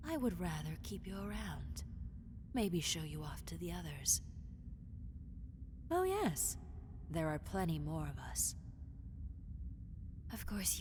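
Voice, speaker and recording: Casting: woman to play possessive snake-woman monster for roleplay audio. Low, unhurried, seductive and condescending, dropping into whispers. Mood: admiring, possessive. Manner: condescending, seductive, drawn-out taunting, slipping into breathy whispers. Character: possessive, mocking female lamia, half-snake monster, teasing predator. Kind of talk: roleplay audio drama, addressed to the listener. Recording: long cave-like reverb, faint noise.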